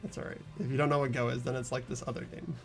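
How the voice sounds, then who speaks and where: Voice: mid-range voice, very fast, gravelly, faint music behind. A playthrough host chatting casually with friends and reading game text aloud.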